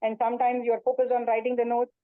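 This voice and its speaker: A woman's voice: fairly high pitch, completely flat delivery, rapid-fire, no echo. A woman teaching yoga in a webinar, speaking earnestly and repeating herself, with many fillers and restarts, over a video call. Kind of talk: webinar talk and Q&A. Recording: tinny phone-like call audio.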